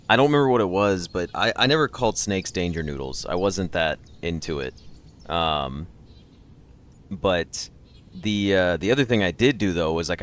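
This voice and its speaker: Low-voiced man chatting on a livestream. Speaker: livestream host. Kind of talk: casual chat with live stream viewers.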